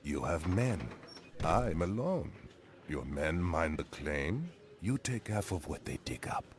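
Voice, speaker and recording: An actor voicing a cruel, threatening villain leader in a video game cutscene, with slow, clipped phrasing. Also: male voice, deep voice, big pitch swings, background noise, heavily acted. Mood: sly bargaining. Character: menacing, cruel villain leader of a secret circle, deep-voiced.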